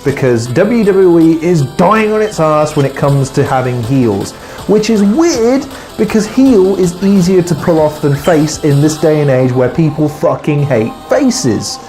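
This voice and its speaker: A man's voice: fairly high pitch, lively intonation, unhurried, hardly any pauses, mellow, soft background music. A man hosting his own podcast alone, rambling through predictions, swearing often and losing his words. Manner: swearing, rambling, with fillers and trailing restarts. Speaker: podcast host. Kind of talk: solo podcast monologue.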